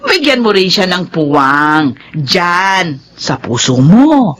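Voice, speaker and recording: A woman's voice: lowish voice, very animated, slow pace. An actress playing a talkative middle-aged aunt in a radio drama, teasing her niece and then earnestly advising her.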